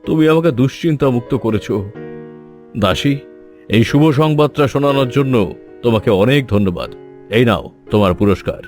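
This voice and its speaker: A man's voice: medium pitch, animated, moderate pace, long pauses, music in the background, lightly acted. Stately, earnest king played by a man. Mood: grateful, proud. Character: caring, anxious, grateful king and father-to-be. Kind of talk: Bengali fairy-tale cartoon acting.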